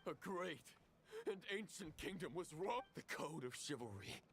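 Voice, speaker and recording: A man playing a solemn ancient knight in a video game, grave and deliberate, with archaic dramatic delivery. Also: fairly high pitch, expressive, fairly bright tone, slight background noise. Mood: solemn pledge. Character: solemn ancient male knight swearing a dark pledge.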